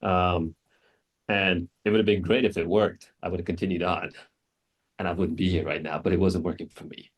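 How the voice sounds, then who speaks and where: A man in his thirties from Singapore, telling his own story on a panel in a reflective, earnest, measured way. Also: low-pitched, steady tone. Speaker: panelist, wilderness guide.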